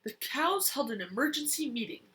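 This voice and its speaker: A young child reading a picture book aloud in a deliberate, expressive way. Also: high voice. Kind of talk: storybook read-aloud.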